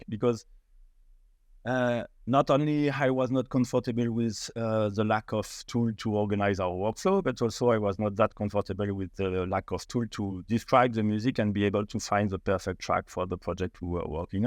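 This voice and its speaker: A middle-aged man with a French accent talks in a podcast interview, his voice sitting fairly low. He speaks haltingly, with frequent fillers and restarts. The sound is close and dry, with no room around it. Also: fairly even intonation, no echo. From France. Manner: hesitant, many fillers and restarts. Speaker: startup founder, guest.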